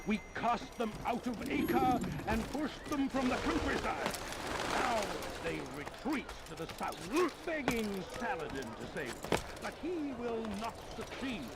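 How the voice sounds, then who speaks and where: A man's voice: very high voice, very animated, unhurried, heavy background noise, full character voice. A man voicing a fervent crusader street preacher, declaiming zealously to rally the faithful in a video game. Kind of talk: public oration in a video game.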